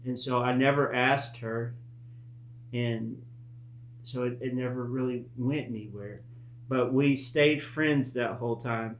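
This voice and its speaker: A man in his late thirties telling a personal story casually, in a halting, reflective and earnest way. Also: mid-range voice, fairly even intonation. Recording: echoey room with faint hum.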